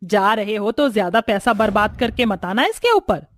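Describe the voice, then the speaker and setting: A woman voicing a haughty middle-aged mother-in-law in an animated-style family story, scolding and full of contempt. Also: medium pitch, normal speed, natural voice. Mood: suspicious, contemptuous.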